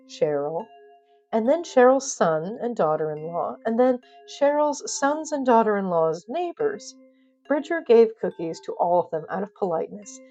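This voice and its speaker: Female narrator, wry and theatrical, mid-pitched soft-edged tone for whimsical audio fiction, clear music bed. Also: muffled recording. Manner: wry, theatrical, addresses audience. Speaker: narrator, storyteller. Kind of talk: scripted comic audio storytelling.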